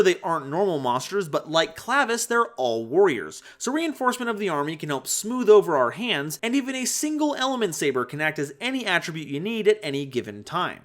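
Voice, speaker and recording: A man presenting scripted card game commentary in an animated, playful way, with conversational asides. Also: sits high. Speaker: host of a card game analysis channel.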